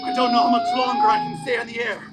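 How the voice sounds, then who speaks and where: A man's voice: very high voice, wildly swooping pitch, fast talker, a crowd in the background, exaggerated voice. A man playing a vain, melodramatic, panicky radio announcer in a theatrical stage parody. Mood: panicked. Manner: theatrical, overwrought shouting. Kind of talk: 1930s-style radio broadcast parody, stage acting. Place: outdoor community theater stage in parking lot. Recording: outdoor stage recording, faint noise.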